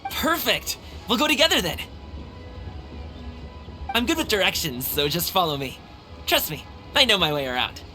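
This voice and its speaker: A cheerful young male guard from a video game, friendly and chatty. His bright voice moves freely up and down as he reassures his companions. Music plays behind him. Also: high-pitched, sing-song, normal speed, long pauses, exaggerated voice. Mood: upbeat, eager. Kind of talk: animated video game voice acting.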